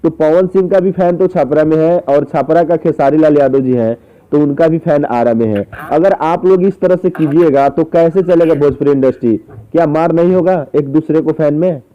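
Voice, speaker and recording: A man's voice: fairly high pitch, normal speed. Insistent man on a muffled call. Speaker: interviewer questioning a singer by phone. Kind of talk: phone interview, probing questions. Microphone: phone call. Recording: muffled, dull phone call recording.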